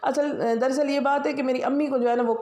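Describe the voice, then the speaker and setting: Vlogger, woman, Pakistani Punjabi accent, sore scratchy throat, rambling chatty voice-over, slightly high, echoey room. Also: steady tone, fast, darkish tone. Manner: chatty, rambling, many fillers. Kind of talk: casual daily-routine voice-over.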